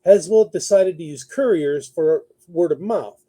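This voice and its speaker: A man's voice: a little high, normal speed, dark tone. A man hosting a talk show, giving conversational news commentary partly read from notes, thinking aloud as he goes.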